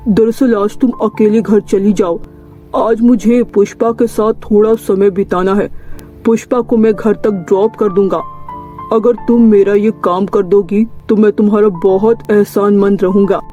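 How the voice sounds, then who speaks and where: A man voicing a polite young suitor in an animated story, with measured delivery. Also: high-pitched, soft background music. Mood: requesting. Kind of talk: Hindi animated story voice acting.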